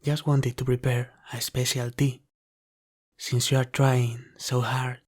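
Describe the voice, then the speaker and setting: A man playing a polite, caring dragon butler in an ASMR roleplay, speaking formally, gently and deferentially. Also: mid-range voice, flat delivery, slow pace. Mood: courteous, attentive. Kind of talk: ASMR roleplay, soft scripted character acting.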